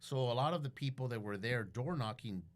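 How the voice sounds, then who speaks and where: A man's voice: mid-range voice, fairly quick. A man speaking casually as a podcast guest, telling stories in a blunt, profanity-laced way and acting out quoted dialogue. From Guatemalan background. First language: bilingual English and Spanish. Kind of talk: casual storytelling interview.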